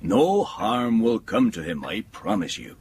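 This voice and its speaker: Paternal older gentleman solicitor, unhurried but lively intonation, period drama. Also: fairly low pitch, slightly rough, heavily acted. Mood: polite, reassuring. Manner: genteel, avuncular, reassuring. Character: kindly older male English solicitor, paternal and reassuring. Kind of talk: period audio drama acting.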